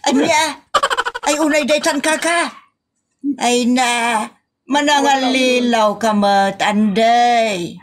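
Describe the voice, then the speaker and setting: Audio comedy-drama: reproachful village woman, acted by a woman, Ilocano accent, unhurried, slightly high, exclamatory and drawn out. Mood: dismayed.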